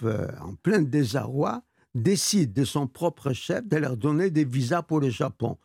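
An older man whose first language is Yiddish, a guest author telling history in an animated way and often repeating words. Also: mid-range voice, gravelly, husky, no room sound, clean studio sound. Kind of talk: storytelling interview answers.

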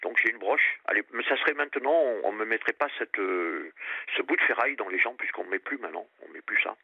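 An older man from France, calling in to a radio show, talking earnestly in a halting way and often repeating his words. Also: lowish voice, lively intonation, vocal fry, husky, no echo. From France, Périgord, grew up on Réunion. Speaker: caller. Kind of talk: phone-in radio call. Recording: muffled phone line.

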